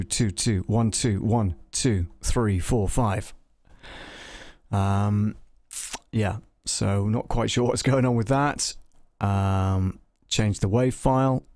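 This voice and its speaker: Male audio tutor for a casual live demo: slightish low pitch, gravelly creak, halting think-aloud delivery. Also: darkish tone, no room sound. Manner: halting, thinking aloud, muttering test counts. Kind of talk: casual live software demonstration, troubleshooting aloud.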